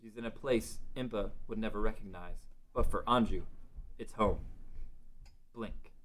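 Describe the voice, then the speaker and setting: A man's voice: lowish voice, measured pace, a bit bright. A man reading scripted dramatic narration for a fantasy story table read.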